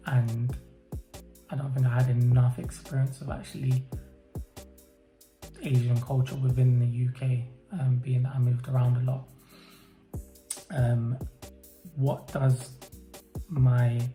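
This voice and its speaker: Uk guy, very dark gravelly voice, pauses a lot with ums, echoey room, bit of music under it. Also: medium pitch. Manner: hesitant, thoughtful, many pauses and fillers. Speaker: interviewee. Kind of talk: reflective personal interview answers. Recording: roomy echo, faint music behind.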